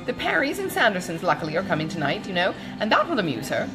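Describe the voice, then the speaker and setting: Woman voicing an effusive, swooping older gentlewoman. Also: on the low side, wildly swooping pitch, fast, over music. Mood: indignant, consoling. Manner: exclamatory, chattering, dramatically emphatic. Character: kindly, gossipy, effusive, indignant older English gentlewoman. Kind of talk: audiobook character dialogue from a classic novel.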